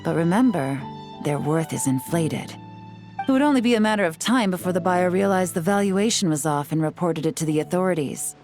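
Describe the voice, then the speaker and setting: A low, composed female voice as a confident secret agent in a video game. She sounds shrewd and measured, with soft music behind her. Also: lively intonation. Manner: smooth, measured, self-assured.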